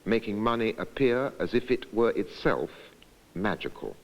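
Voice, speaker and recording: Deep, gravelly man narrating deliberately. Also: expressive, rough recording. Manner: measured, deliberate, reflective delivery. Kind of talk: scripted documentary narration.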